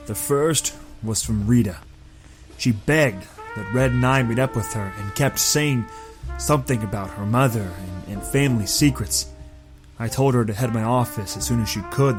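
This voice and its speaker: An actor playing a hard-boiled, gruff but protective private eye in a noir audio drama. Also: male voice, mid-range voice, close to an everyday voice. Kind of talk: noir radio drama dialogue and narration. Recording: music under the voice.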